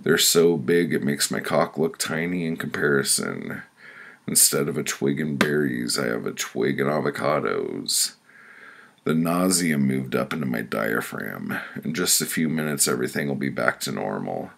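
A man with a rough, gravelly voice reading his own crude, profane comic stories in a casual, blunt first-person way. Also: extremely low pitch, animated, moderate pace, a bit bright. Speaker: author reading their own audiobook.

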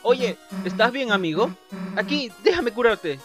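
A woman's voice: on the high side, expressive, measured pace, faint music behind. A woman voicing a tough, brash teenage monster girl, blunt and urgent, in a video game fan dub. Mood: concerned.

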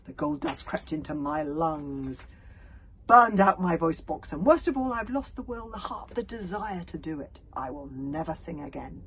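A woman acts out a vain middle-aged male ex-hero from a storybook. He speaks in flowery, grand phrases with lively, wide-ranging pitch, utterly pleased with himself. Faint noise sits behind the voice. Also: moderate pace, close to an everyday voice. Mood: sad, theatrical. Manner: self-satisfied, flowery, grand.

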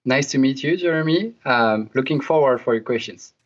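A young man speaks English with a French accent, pausing often with ums and uhs and slipping in playful remarks. His voice sits at an ordinary pitch. The sound is dull and lo-fi, like a remote call. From France. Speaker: guest. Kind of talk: casual interview.

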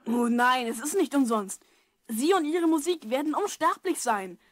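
A woman playing an eager, caring twelve-year-old boy in a German audio drama, earnest and polite, slightly husky. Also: sits high, lively intonation, normal speed. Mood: earnest, urging.

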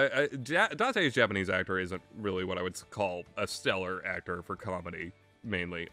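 A young man giving a casual, rambling game review in a halting way, often chuckling as he goes. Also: on the low side, bright, forceful tone. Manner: hesitant, halting, often chuckling mid-sentence.

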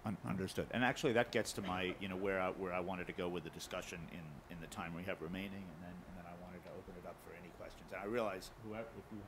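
A male panel moderator who talks fast and briskly. His voice sits a little low, and he keeps things moving with quick affirmations. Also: noisy background.

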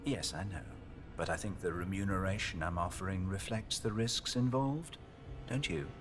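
A man acting in a video game as an older, wealthy, shadowy businessman, coolly persuasive, measured and formal in his speech. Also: deep voice, music in the background, natural voice.

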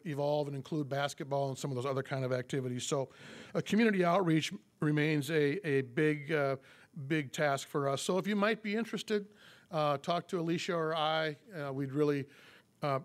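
A man in his fifties, a police chief getting over a cold, giving a public address at a podium mic in a meeting room, halting with many fillers and restarts. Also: fairly high pitch. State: recovering from a cold.

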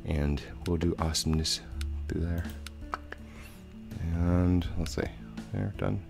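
A man in his thirties casually narrating a video game as he plays, chatty and rambling, a little hoarse and congested from a cold, on a headset mic at home. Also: low voice, steady tone, takes it slowly, music in the background. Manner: chatty, rambling, with frequent fillers. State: slightly hoarse with a head cold. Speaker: gaming channel host. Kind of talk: casual let's play commentary.

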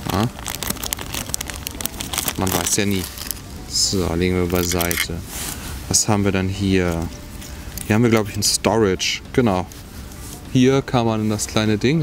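A man's voice: fairly low pitch, unhurried, pauses often, a little hiss or hum. A man casually reviewing a cheap survival kit outdoors, musing aloud as he tries each item. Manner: musing, talks while handling items. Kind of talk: casual hands-on product review. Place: outdoors on grass by a log. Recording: music playing underneath.

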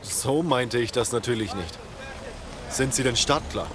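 A man's voice: mid-range voice, a little slow, background noise, natural voice. A man playing a protective, decisive soldier in a zombie audio drama. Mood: businesslike. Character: commanding male soldier, protective and decisive under attack. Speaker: voice actor.

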